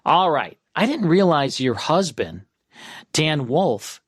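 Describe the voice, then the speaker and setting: A man's voice: fairly high pitch, big pitch swings, slow pace, breathy edge, no room sound. Male radio host, dry close sound. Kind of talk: conversational radio hosting.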